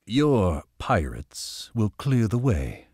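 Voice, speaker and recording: An actor playing a formal, calculating lord and military commander in a video game, with a husky voice and measured, aristocratic phrasing. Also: male voice, fairly low pitch, sing-song, darkish tone, no echo, studio quality, full character voice. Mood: smooth, scheming. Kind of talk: video game cutscene acting.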